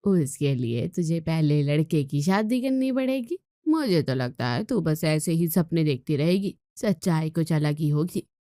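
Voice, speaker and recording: A woman's voice: sits low, expressive, measured pace, hardly any pauses, warm tone, no room sound, clean studio sound. A woman voicing a nosy, critical middle-aged neighbour in a moral story, gossipy and needling in manner.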